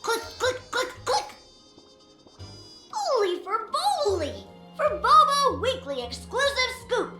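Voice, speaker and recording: A woman's voice: extremely high pitch, pushed and bright, echoey room, full character voice. A woman voicing a small mischievous creature who works as a sensational tabloid reporter in a video game. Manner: sensational, chanting sound words. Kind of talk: game voice acting, breaking-news patter.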